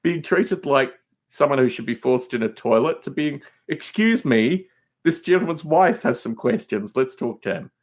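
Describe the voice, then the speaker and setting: A man's voice: medium pitch, animated, normal speed. A man chatting casually as a podcast guest, teasing, opinionated and emphatic in how he talks.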